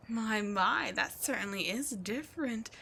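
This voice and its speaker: A scheming, seductive demon queen, played by a woman in an audio drama. She speaks slowly and teasingly, letting her taunts linger and slipping into breathy whispers. A faint ambient hum sits behind her voice. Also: a little high, lively intonation, sharp tone, full character voice. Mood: taunting.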